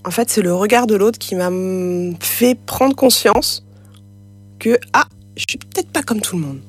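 A woman's voice: mid-range voice, animated, unhurried, husky. Hesitant woman, first language sign language. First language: French Sign Language. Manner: hesitant, many fillers and restarts. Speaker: interview guest. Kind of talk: casual conversational interview.

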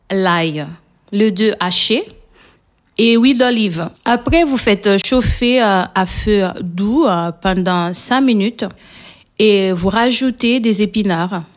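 A woman with a Portuguese accent, a native Portuguese speaker, talking as a guest cook in a radio interview and pausing often with fillers. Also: mid-range voice. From São Tomé and Príncipe, raised in Portugal. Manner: hesitant, frequent pauses with fillers.

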